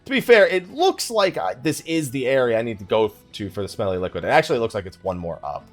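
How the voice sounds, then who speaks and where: A man's voice: medium pitch, sing-song, quick pace, soft background music. A man giving casual let's play commentary, chatting and rambling as he thinks aloud while he plays. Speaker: gaming YouTuber, let's play host.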